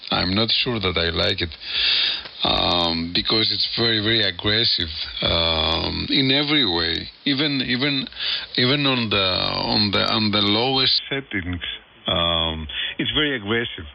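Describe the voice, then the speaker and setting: A man with a Greek accent talking casually on amateur radio, deliberately, often repeating his phrases. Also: on the low side, gravelly. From Greece. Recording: slightly dull shortwave radio with faint hiss. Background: faint radio hiss.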